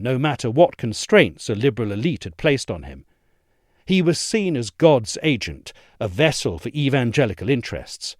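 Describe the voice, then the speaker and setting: A man reading a scripted political essay in a measured, formal manner. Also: medium pitch, dry recording, clean studio sound. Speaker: reader of an audio essay.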